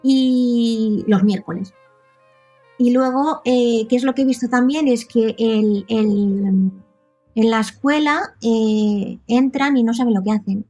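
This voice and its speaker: Higher-pitched Catalan-accented woman chatting. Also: takes it slowly, mellow, faint music behind. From Catalonia, Spain. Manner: chatty, frequent tag questions. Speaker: podcast guest. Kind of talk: casual conversational interview.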